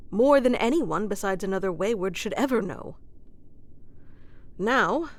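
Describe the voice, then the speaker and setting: A woman playing a wry, composed, battle-worn ruler in a scripted fantasy audio roleplay, with formal, teasing, dry delivery. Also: mid-range voice. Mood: worried, serious. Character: wry, composed female ruler of a fantasy kingdom, wounded ex-fighter.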